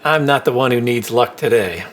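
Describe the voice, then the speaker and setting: An actor playing a kindly older company employee in an audio drama, folksy, wry and a little hesitant. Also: male voice, medium pitch, lively intonation, moderate pace, a bit bright, close to an everyday voice. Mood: wry, friendly. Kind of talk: naturalistic audio drama dialogue.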